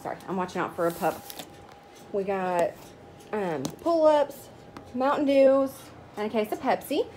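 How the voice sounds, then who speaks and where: A woman's voice: medium pitch, lively intonation, unhurried, pauses often, darkish tone. A woman in her thirties casually chatting through her grocery haul, naming each item as she unpacks it. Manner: chatty, itemizing each product. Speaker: vlogger. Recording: noticeable background noise. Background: rustling bags and crinkling packaging.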